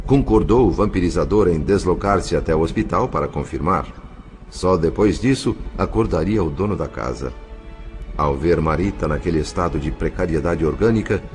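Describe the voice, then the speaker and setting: Male narrator for audio fiction: low voice, measured scripted delivery, faint music underneath. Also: a little mellow.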